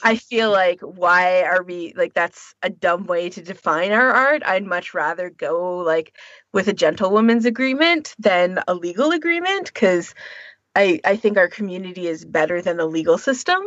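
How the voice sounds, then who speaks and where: A woman's voice: lowish voice, animated, normal speed, a bit bright, no echo, lo-fi sound. A woman co-hosting a podcast, talking casually and informally with firm opinions of her own. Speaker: podcast co-host.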